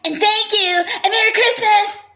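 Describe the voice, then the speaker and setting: A woman's voice: extremely high pitch, fairly even intonation, normal speed, pushed and bright, lo-fi sound, heavily acted. A woman voicing a sweet, polite five-year-old boy in an old-time radio comedy, eager and childlike.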